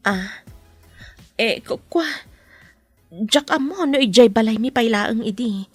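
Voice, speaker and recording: Proud lady of the house, husky, animated pitch, emotional, radio drama acting. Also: slow, no echo, soft background music, exaggerated voice. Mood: hesitant, evasive. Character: proud adult daughter and mother, mistress of mansion.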